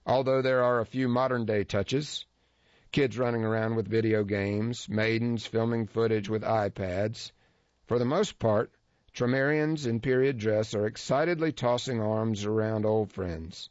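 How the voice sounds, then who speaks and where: A man's voice: lowish voice, a little slow, a little mellow, no room sound. A man reading a first-person feature story aloud in a wry, dryly humorous way. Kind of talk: scripted first-person narration. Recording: dull lo-fi dry recording.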